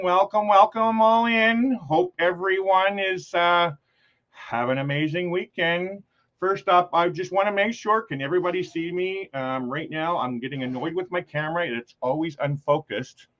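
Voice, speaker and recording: A man hosting a casual live stream with a loud voice and a loose, jokey, easily sidetracked way of talking. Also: high-pitched, animated, measured pace. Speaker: livestream host. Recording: dull lo-fi livestream audio.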